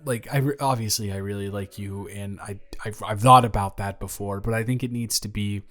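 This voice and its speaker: A man's voice: on the low side, fairly even intonation, fairly quick, fairly bright tone, soft background music, close to an everyday voice. A young man playing a nervous, lovestruck best friend in an improvised romantic roleplay audio, rambling and stammering as he speaks to the listener. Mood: hesitant, sincere.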